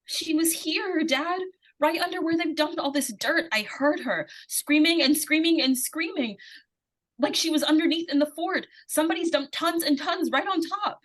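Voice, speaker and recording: A woman's voice: high voice, normal speed, fairly bright tone, poor audio. A woman playing a desperate, insistent young girl in an old-time radio suspense drama, urgent and pleading. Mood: eager, insistent. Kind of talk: 1940s radio suspense acting.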